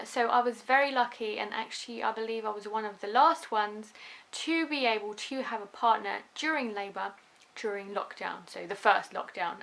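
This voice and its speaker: Russian-accented woman, slightly high voice. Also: gravelly, sharp tone. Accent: Russian, softened by a London upbringing. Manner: chatty and earnest, with filler ums and restarts. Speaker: vlogger, new mother. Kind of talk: casual talk to camera.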